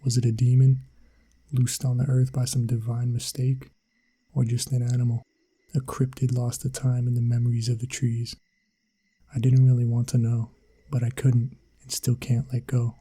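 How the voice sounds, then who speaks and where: A man reading a scripted first-person horror story in an ASMR style, with a dramatic, suspenseful delivery and a husky, gravelly voice. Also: mid-range voice, monotone, moderate pace, warm tone. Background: background music.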